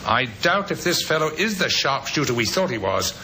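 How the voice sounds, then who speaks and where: A brisk, commanding police inspector in a vintage radio play. His voice is sharp and rather high, with authoritative delivery and a lively rise and fall. Faint noise sits on the old recording. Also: low-quality recording. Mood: brisk, musing. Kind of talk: old-time radio drama acting.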